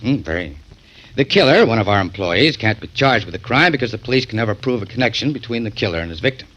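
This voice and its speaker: A smug, ingratiating contract-killing salesman for 1940s radio drama. Forceful, fairly low, smoothly polite. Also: expressive, fast, low-quality recording. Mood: smug, sly. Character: smooth, oily salesman for a murder-for-hire firm.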